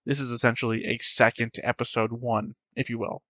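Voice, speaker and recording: A man giving unscripted reaction commentary as a host, rambling as he thinks out loud. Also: medium pitch, little pitch movement, brisk, no echo.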